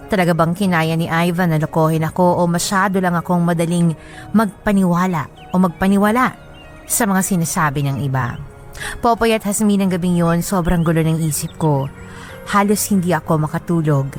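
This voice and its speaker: Earnest young bride-to-be narrating, lower-pitched actress. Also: female voice, natural voice. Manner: earnest, confiding. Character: lovestruck, troubled young bride-to-be telling her story. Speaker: letter-sender narrator in a radio drama. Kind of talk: dramatic first-person scripted narration. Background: music bed.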